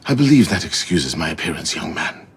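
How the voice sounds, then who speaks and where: An actor playing a weary, sardonic older mentor in a video game, with a husky voice and a dry, oratorical manner. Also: male voice, sits low, big pitch swings, brisk, full character voice. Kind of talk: video game cutscene acting.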